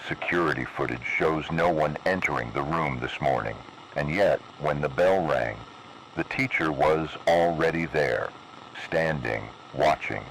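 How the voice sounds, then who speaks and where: Guy doing super deep creepy emergency broadcast voice, grave n deliberate, noisy radio sound. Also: a bit bright, exaggerated voice. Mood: stern, foreboding. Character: ominous male emergency broadcast announcer warning of anomalies. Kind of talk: analog horror scripted public warning broadcast.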